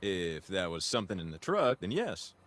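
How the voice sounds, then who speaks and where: A man's voice: low voice, big pitch swings, normal speed, a bit bright. An actor playing a brash, eager young male security recruit in a video game cutscene, casual and cocky but polite to superiors. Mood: sheepish, polite.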